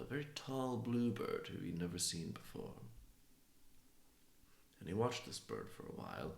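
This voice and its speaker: Male storyteller, very low and creaky, feeling his way through an improvised folktale in a roomy space. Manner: halting, pausing between phrases. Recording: roomy, echoing sound.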